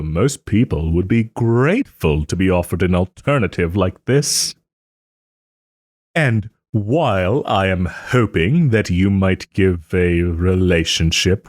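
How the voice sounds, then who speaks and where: Male actor as a smug soft-hearted nobleman, refined, teasing, unhurried, expressive pitch swings, close dry sound, audio roleplay. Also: dry recording. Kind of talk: audio roleplay, dramatic monologue to listener.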